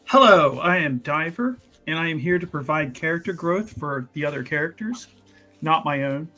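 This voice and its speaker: A man introduces himself casually as a tabletop game player, with a tongue-in-cheek manner. His voice is bright and sits somewhat high. Light music runs behind him. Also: animated, normal speed. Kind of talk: casual, joking self-introduction.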